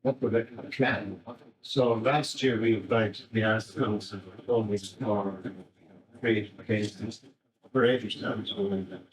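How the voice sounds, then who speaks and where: A man's voice: fairly low pitch, steady tone, slow. An Irish man making an informal, rambling contribution at a community talk. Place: indoor event. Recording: echoey room, distant microphone.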